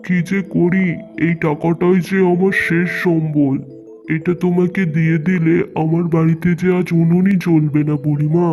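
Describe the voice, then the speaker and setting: Man acting a high-voiced, earnest poor farmer. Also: dark tone, close to an everyday voice. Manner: earnest, plaintive. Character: poor, helpless, kind-hearted farmer father.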